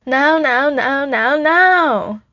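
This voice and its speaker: A woman's voice: fairly high pitch, animated, moderate pace, gravelly, no echo, lo-fi sound, voice pushed up. A woman voicing a small, frightened yet demanding boy in a story, with pleading, childish questioning. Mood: screaming tantrum.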